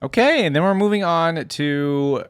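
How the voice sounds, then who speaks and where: A man's voice: on the high side, animated, a little slow, smooth, dry recording. A man hosting a casual reaction to game voice lines, with an amused, easygoing manner. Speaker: host of a game lore reaction channel.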